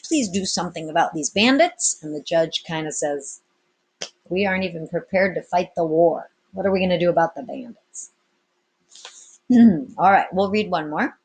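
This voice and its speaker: A woman's voice: on the low side, slightly rough. Woman teacher reading a storybook aloud. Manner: explains and prompts listeners. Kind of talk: storybook read-aloud with explanatory asides.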